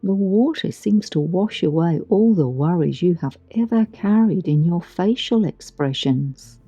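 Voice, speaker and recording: Female meditation guide, lowish pitch, soothing and unhurried, gentle pauses, dull dry recording over music. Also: expressive, husky, no echo. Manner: soothing, gentle, deliberate delivery with long pauses. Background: background music.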